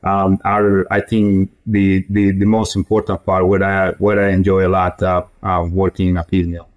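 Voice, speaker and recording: A middle-aged man from Guatemala, answering interview questions as a guest in a halting way with many fillers and restarts. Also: low-pitched, completely flat delivery, darkish tone. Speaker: podcast guest, feed industry director.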